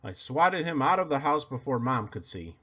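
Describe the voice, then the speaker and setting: A man reading a children's story aloud in a theatrical, expressive way, stretching out words for effect. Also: medium pitch, moderate pace, breathy edge. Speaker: storybook reader. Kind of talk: animated children's read-aloud. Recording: slightly dull, lo-fi audio.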